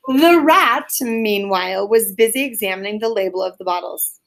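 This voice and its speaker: A woman's voice: mid-range voice, normal speed, vocal fry, a little hiss or hum. A woman reading a children's story aloud, with casual explanations for young listeners along the way.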